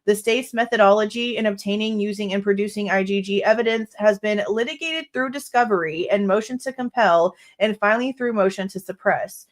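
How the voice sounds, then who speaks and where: A woman's voice: mid-range voice, fairly quick, hardly any pauses. Stuffy-nosed woman reading legal text. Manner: exasperated, blunt asides with mild swearing. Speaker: true crime livestream host. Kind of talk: reading a legal brief aloud with commentary.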